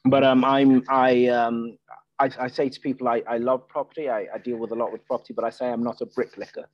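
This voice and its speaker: A man's voice: mid-range voice, little pitch movement, fairly quick, no room sound, highs cut off. A man guest in a casual property podcast interview, talking with frequent fillers and restarts. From Nigeria, Ireland and the UK. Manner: hesitant, many restarts and fillers.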